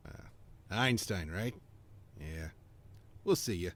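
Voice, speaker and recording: A man playing a gruff, blunt, tired police detective who says little, in a radio-play reading. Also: deep voice, lively intonation, takes it slowly, exaggerated voice. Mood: wry. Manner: blunt, laconic. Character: gruff, world-weary, self-deprecating police detective.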